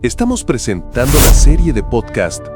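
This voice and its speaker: Animated male announcer over loud music. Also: medium pitch, normal speed. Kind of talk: scripted podcast series intro. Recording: loud brass music under voice.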